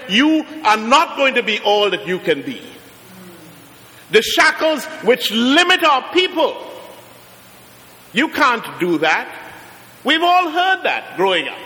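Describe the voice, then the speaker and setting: A middle-aged man from Trinidad with a Trinidadian accent, preaching a sermon as a guest preacher, slowing down and rising to emphatic peaks. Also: very high voice, bright, forceful tone. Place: large church. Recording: long church reverb.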